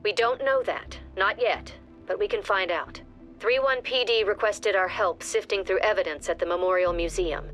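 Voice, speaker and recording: A composed, authoritative director, voiced by a woman giving measured mission briefings in a game. Her voice sits at a middle pitch and stays businesslike throughout. Soft music plays under her. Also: close to an everyday voice.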